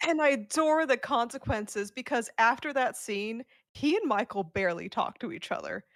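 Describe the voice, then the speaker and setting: A woman's voice: fairly high pitch, expressive, moderate pace, no room sound. A woman chatting casually on a podcast in an emphatic, informal way. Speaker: podcast co-host.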